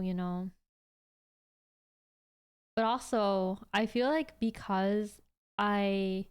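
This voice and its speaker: A woman in her twenties hosting a livestream, chatting casually with viewers in a rambling way full of "like". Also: mid-range voice. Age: 20s. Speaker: livestream host.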